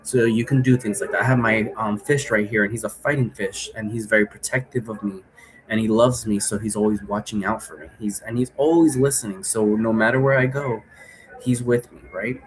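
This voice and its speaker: A man's voice: fairly low pitch, steady tone, brisk, a little hiss or hum, rough recording. A man giving a casual, rambling tutorial to viewers in an informal, repetitive way, often checking in as he goes. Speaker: host giving an occult divination tutorial.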